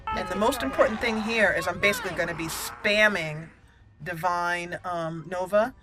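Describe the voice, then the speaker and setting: A woman's voice: on the low side, normal speed, over music. A woman giving casual, chatty video game commentary, talking through each step as she plays. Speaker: video game let's play host.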